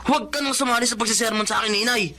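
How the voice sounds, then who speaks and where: A man's voice: very high voice, fairly even intonation, very fast, sharp tone, full character voice. A man playing a brash, defensive eldest son. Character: eldest son with vices, tricycle driver. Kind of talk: radio drama acting.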